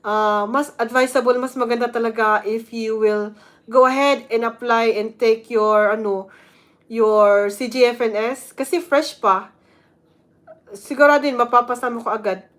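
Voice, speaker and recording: A woman's voice: a little high, fairly even intonation, normal speed. Filipino woman vlogger mixing Tagalog. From Philippines. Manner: switches between Tagalog and English, reads parts aloud, chatty with fillers. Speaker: vlogger, nurse. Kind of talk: casual instructional vlog.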